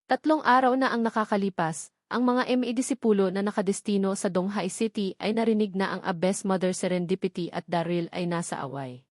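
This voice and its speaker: A woman's voice: mid-range voice, fairly even intonation, fairly quick, a little mellow, no room sound, clean studio sound. A woman reading a novel aloud as a scripted audiobook narration.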